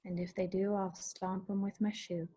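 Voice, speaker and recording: A woman's voice: mid-range voice, level tone, moderate pace, warm tone, slightly rough, natural voice. A woman playing a guarded, wistful, plainspoken young woman in a screenplay table read.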